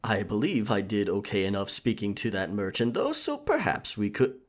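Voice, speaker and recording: A man's voice: fairly low pitch, big pitch swings, moderate pace. A man voicing an earnest, courteous royal knight in a scripted roleplay audio, speaking formally and chivalrously. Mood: gentle, formal. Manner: formal, chivalrous, wordy speech.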